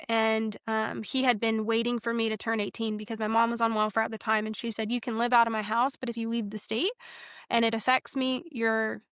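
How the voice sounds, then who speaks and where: Young woman guest, earnest and frank, higher voice, steady flat delivery. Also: clean tone, no room sound, studio quality. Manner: earnest, frank, many fillers and restarts. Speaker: guest sharing her testimony. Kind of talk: casual personal storytelling.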